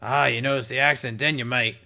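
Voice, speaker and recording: A man voicing a decaying but cheerful ghoul pony bartender who talks with a gravelly rasp. His tone is bright and forward. He is easygoing and addresses everyone as mate. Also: medium pitch, fairly even intonation, fairly quick, voice pushed down. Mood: chatty. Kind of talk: audiobook character voice.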